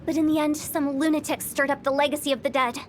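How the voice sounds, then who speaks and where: A woman performs a mischievous young funeral director in a game cutscene, her voice set high. She teases lightly, then lowers into grave, measured storytelling about life and death. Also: fairly even intonation, slight background noise, close to an everyday voice. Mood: solemn. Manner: teasing, then solemn when explaining.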